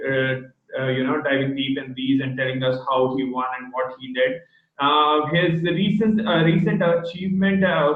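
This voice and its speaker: A man hosting an online interview with a child guest, talking in a halting way and often stopping to search for words. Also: fairly high pitch. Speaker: host. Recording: echoey, tinny phone-like video call audio.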